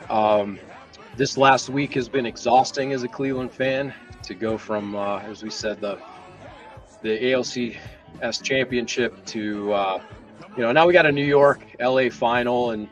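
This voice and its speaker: A man's voice: medium pitch, a little slow, faint music behind. A man co-hosting a sports live stream in a casual, conversational way.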